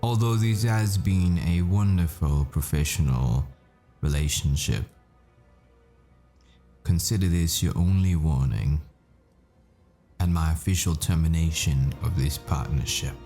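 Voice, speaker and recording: A man playing a world-weary, foul-mouthed assassin boyfriend in a dramatic audio roleplay, brooding and deliberate in his storytelling. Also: rumbling low, warm tone, soft background music. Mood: menacing, defiant.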